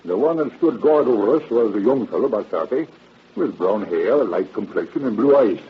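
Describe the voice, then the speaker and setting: A man's voice: on the low side, lively intonation, brisk, breathy edge, highs cut off. An actor playing an older, talkative and obliging night watchman in a folksy, hesitant drawl, in old-time radio drama style. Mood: eager, obliging.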